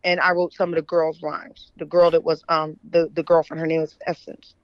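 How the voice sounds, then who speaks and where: A woman's voice: low voice, level tone, a little fast, no echo, highs cut off. A woman from Philadelphia with an American accent, telling stories casually as an interview guest and often checking in with the listener. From Philadelphia, USA. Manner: conversational, often checks in with "right?". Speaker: interview guest, author and editor.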